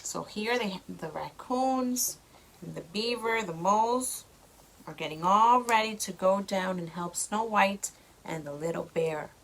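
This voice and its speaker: A woman reading a storybook to a young child as a mother, with expressive delivery and simple explanations. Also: mid-range voice, a little slow, vocal fry, rough recording.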